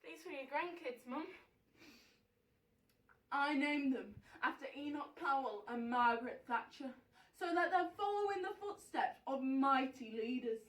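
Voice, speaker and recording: A woman acts out a fragile wife in a stage monologue, heard from a distance in an echoing room. Her voice is high and she stammers slowly through her pleas. She tips between tears and laughter. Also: animated, fairly bright tone. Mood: grieving, wistful. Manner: halting, stammering, swinging into tearful laughter. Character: fragile, pleading Vanessa, battered wife addressing her mum. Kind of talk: theatrical dramatic monologue. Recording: roomy echo, distant microphone.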